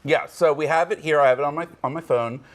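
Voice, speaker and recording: A man's voice: fairly high pitch, fast, background noise. A man as a regular on a television talk show, joking and self-mocking in casual banter. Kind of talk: casual studio chat. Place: TV studio.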